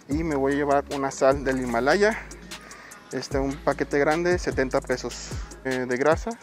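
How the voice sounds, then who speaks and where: Mexican man chatting prices while shopping. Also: mid-range voice. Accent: Mexican Spanish. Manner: chatty, counting out prices aloud as he shops. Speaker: bodybuilder vlogger. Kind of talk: casual vlog, showing his weekly grocery shopping. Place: supermarket aisles, car and shops.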